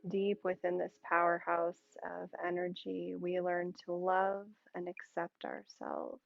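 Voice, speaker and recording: A woman yoga instructor leading a guided meditation calmly and soothingly, in short phrases with long pauses, on a muffled recording. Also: lowish voice, vocal fry, no room sound.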